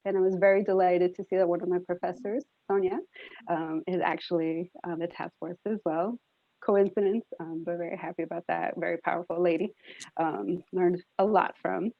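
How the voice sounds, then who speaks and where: Heard through narrow, phone-like call audio with little room sound, a middle-aged American woman speaks in a slightly low voice. She is introducing herself informally at an online meeting. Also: fairly even intonation, fairly quick, creaky, dry recording. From Rhode Island, United States. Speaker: task force member. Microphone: video call.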